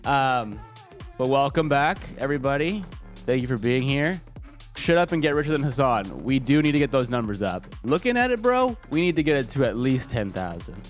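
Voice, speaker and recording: A man's voice: medium pitch, big pitch swings, moderate pace. A man streaming live, a little congested and stuffy, chatting casually and joking with lots of profanity. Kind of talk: casual livestream chat. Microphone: desk microphone. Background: faint music.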